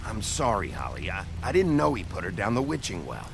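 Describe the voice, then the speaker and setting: An actor playing a gruff, weary, brooding lawman with a gravelly voice, blunt but caring, in a noir video game. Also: lowish voice, wildly swooping pitch, fairly quick, music in the background, full character voice, rough recording. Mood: apologetic.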